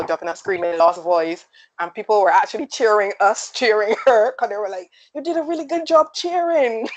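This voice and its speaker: A woman on a sports panel, telling stories in a lively way and laughing often. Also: fairly low pitch, lo-fi sound. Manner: animated storyteller, laughs often. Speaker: panel guest. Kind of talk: casual sports panel chat.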